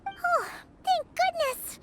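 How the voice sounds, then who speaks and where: A woman voicing a tiny, caring, excitable fairy companion in a video game, squeaky and childlike. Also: slow pace. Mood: relieved. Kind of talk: cute video game character acting. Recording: very loud music over the voice.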